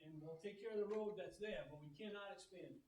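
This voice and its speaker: A man presenting a subdivision plan to a town planning board, loud and halting with many restarts. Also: sits high, rough recording. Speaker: applicant's representative.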